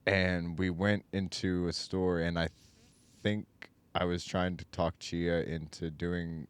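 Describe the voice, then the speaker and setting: A man's voice: low-pitched, little pitch movement, takes it slowly, clear, resonant tone, dry recording. A young man co-hosting a casual podcast, rambling and hesitant as he recalls a memory. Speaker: podcast co-host.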